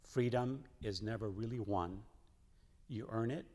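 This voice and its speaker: Low-voiced man reading in an echoing hall. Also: measured pace, darkish tone. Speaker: church member reading quotes aloud. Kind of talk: scripted reading of a historic letter. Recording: echoing hall with long reverb.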